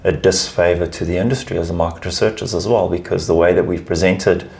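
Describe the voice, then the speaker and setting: Guest spot: man, deep gravelly voice, emphatic chatty answers. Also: fairly even intonation, quick pace, darkish tone, a little hiss or hum. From South Africa. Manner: emphatic, repeats words while finding phrasing. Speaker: guest, advertising research lead. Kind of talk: casual interview chat.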